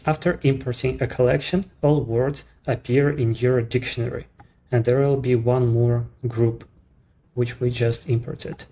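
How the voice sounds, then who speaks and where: Ukrainian-accented man explaining software calmly. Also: medium pitch. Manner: measured, explanatory, slightly non-native phrasing. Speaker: app creator presenting his service. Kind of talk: screen-recorded software walkthrough.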